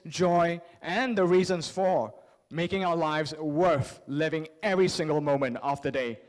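A man in his thirties giving an earnest motivational psychology keynote at a podium microphone, phrasing deliberately with repetition and rhetorical questions. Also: high voice. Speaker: keynote speaker. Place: large convention hall, on stage at a lectern.

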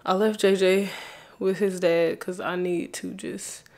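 A young woman vlogging at home, chatting casually to the camera and speaking gently to her toddler. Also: mid-range voice, steady tone, measured pace, gravelly. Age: young adult. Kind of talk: casual vlog chat. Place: home kitchen and bathroom, indoors. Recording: roomy home echo.

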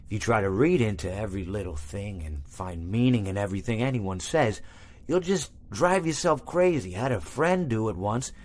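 A man's voice: lowish voice, animated, normal speed, darkish tone. A man voicing a long-winded male hippo storyteller from a game, meandering from tangent to tangent with stammered restarts. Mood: rambling. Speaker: game character voice lines. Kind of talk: game voice acting.

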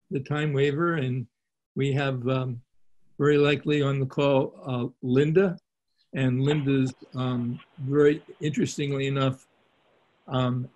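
An older man hosting a video call, talking in a halting, rambling way with many fillers, recorded through a laptop webcam in a small room. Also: mid-range voice, a little mellow, poor audio. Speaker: call host.

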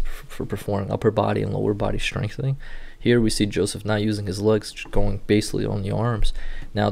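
A man in his thirties, a native Portuguese speaker, who works with rehab athletes and calmly walks viewers through training clips, recorded indoors on a webcam. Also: on the low side, vocal fry. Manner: steady, instructive walk-through of clips. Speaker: sports rehab clinician. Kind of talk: explanatory video commentary. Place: indoor room.

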